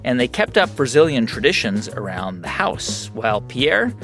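A man hosting a program, reading a scripted introduction and then asking questions, animated and a bit hesitant. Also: mid-range voice, moderate pace, faint music behind. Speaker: interviewer and program host.

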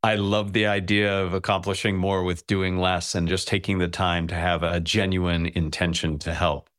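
A male podcast host with a somewhat low voice asks questions in an appreciative, inviting manner. The recording is dry, with little room sound. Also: flat delivery, normal speed, fairly bright tone, dry recording. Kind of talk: podcast interview.

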